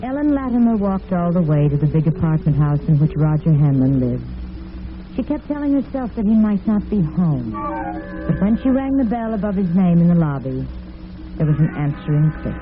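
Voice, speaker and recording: A woman's voice: on the low side, big pitch swings, normal speed, music in the background. A woman playing the storyteller hostess of a romantic old-time radio serial, narrating from a script. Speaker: narrator and host. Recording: narrow old radio recording.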